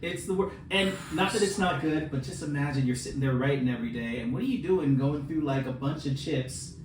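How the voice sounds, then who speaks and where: A man with a fairly high voice banters casually as a podcast co-host. He sounds informal and jokey, in a roomy recording set back from the mic with clear background noise. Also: fairly quick, recorded from a distance.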